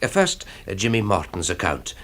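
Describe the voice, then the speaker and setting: An actor playing a genial, fussy older bank manager in a radio drama, hesitant and formally precise. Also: male voice, low voice, sing-song, normal speed, fairly bright tone. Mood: genial, businesslike. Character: fussy, genial elderly Scottish bank manager, discreetly thrilled.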